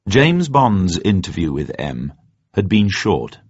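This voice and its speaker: Deep, expressive man narrating. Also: slow pace, slightly rough, no room sound, lo-fi sound. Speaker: audiobook narrator. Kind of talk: dramatised scripted narration.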